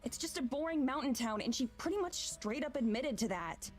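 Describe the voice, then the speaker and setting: A woman's voice: sits high, lively intonation, a little fast, over music. A woman voicing a frustrated, skeptical young traveler in a visual novel, blunt and exasperated.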